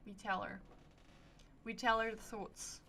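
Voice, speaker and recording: A woman's voice: a little high, flat delivery, a little slow, pushed and bright. A woman streamer chatting casually over a game, playful, rambling and reactive, with music behind her. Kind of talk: casual live stream commentary. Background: video game music.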